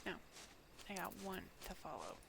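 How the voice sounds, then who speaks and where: A woman's voice: lowish voice, sing-song, normal speed, creaky, background noise. A woman streaming a game live, muttering to herself as she plays. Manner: mutters to self while playing. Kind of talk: live game playthrough, reading dialogue aloud.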